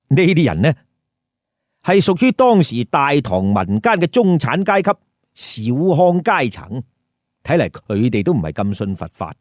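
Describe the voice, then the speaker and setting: A man's voice: on the high side, a little mellow, no echo, studio quality. A man telling a story with comic commentary, colloquial and putting rhetorical questions to listeners. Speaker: storyteller, host.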